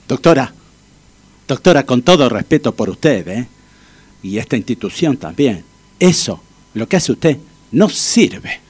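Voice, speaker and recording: An actor with an Argentine accent playing a cocky, insistent, devoted male friend in a comic radio play, with a husky voice. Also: mid-range voice, very animated, unhurried, pauses often, a little mellow, slight background noise. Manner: insistent, theatrical coaxing and boasting. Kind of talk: comic radio theatre acting.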